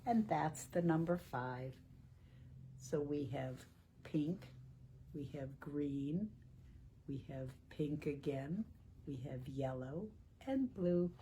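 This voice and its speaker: Slow-speaking woman reading a children's storytime. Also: deep voice, dark tone, slight background noise, poor audio. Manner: deliberate, teacherly, asking questions and praising the listener. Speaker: children's storytime reader at a library. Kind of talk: read-aloud picture book storytime for young children.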